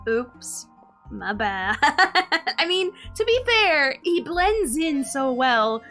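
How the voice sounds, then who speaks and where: A woman's voice: high-pitched, very animated, extremely slow, sharp tone, faint music behind. A woman live-streaming a game with casual, slangy, reactive commentary and offhand swearing.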